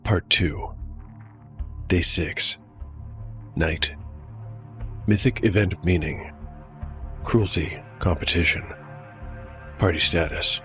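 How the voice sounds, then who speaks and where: A man narrating a dark fantasy tabletop audiodrama in a measured, deliberate, scripted storytelling style. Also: deep voice, soft background music.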